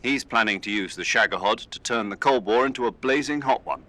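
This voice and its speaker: A man playing an authoritative military commander in a video game, giving grave, measured mission briefings. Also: medium pitch, sharp tone, close to an everyday voice. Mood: grave, urgent.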